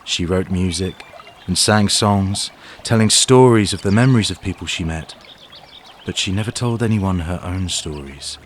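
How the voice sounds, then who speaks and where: A man's voice: low voice, moderate pace, a little hiss or hum. A man narrating a scripted fantasy story for an audio drama.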